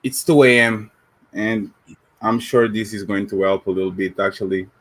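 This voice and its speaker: A man's voice: lowish voice, fairly even intonation, moderate pace. A man from Africa joining a casual live chat as a guest, with a dry joking manner. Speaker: guest panelist.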